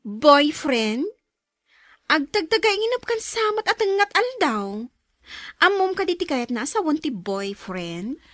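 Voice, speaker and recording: A woman playing a nosy, outspoken young friend in an audio drama, with an animated, teasing manner. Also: sits high, slow pace, no echo, studio quality, exaggerated voice. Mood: indignant.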